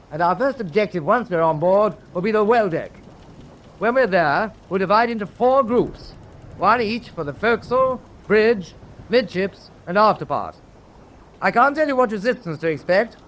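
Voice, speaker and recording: High-voiced, resolute British naval officer. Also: male voice, a bit bright. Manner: crisp, orderly, authoritative briefing. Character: resolute male British naval officer briefing his men. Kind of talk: war radio drama acting. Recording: faint background noise.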